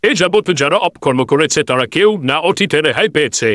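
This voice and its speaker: A man's voice: on the high side, expressive, a little fast, a bit bright, no echo, studio quality. A man playing an indignant, moralizing villager in a comedy cartoon, with a preachy manner. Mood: admonishing. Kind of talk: cartoon voice acting.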